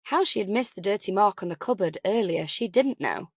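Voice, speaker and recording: A woman's voice: medium pitch, animated, a little fast, darkish tone, breathy edge, no room sound. Woman narrating fiction, dry close sound. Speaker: audiobook narrator.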